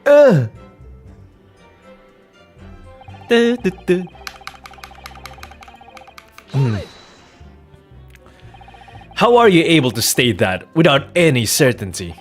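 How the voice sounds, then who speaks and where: A man's voice: on the high side, very animated, slow, pauses often, soft background music, pitched above the performer's own voice. A man voicing an earnest, polite and hesitant young defense lawyer in a courtroom video game. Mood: puzzled. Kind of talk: dramatic video game dub.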